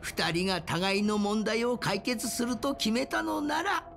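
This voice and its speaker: A woman's voice: on the high side, measured pace, gravelly, a bit bright, soft background music. A woman voicing a wry, pompous, old-fashioned elder ruler in a fantasy game, with leisurely theatrical delivery. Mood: amused, wry.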